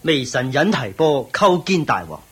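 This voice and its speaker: A man's voice: mid-range voice, very animated, unhurried, fairly bright tone, slightly rough, heavily acted. A man with a Hong Kong Cantonese accent playing a loyal, respectful martial arts master at court in a radio drama, formal and earnest. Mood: deferential, formal.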